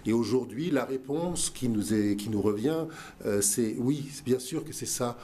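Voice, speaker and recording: A man's voice: mid-range voice, lively intonation, normal speed, warm tone, slight background noise. A man guest on a talk show, speaking earnestly with many fillers and restarts. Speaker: guest, museum director. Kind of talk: radio talk show interview.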